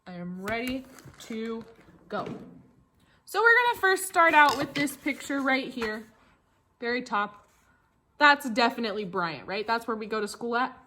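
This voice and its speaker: Animated, bright-voiced American woman teacher. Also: a little high, measured pace, long pauses, slight background noise. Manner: animated, exaggerated surprise and rhetorical questions for children; simple explanations with repetition. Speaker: elementary school teacher. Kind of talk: read-along tracing lesson for young children.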